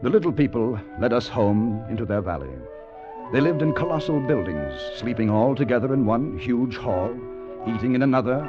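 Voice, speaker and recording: A man's voice: mid-range voice, close to an everyday voice. An actor playing an earnest, wondering time traveler who recounts his adventure in a 1950s radio drama. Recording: muffled old radio recording, music behind.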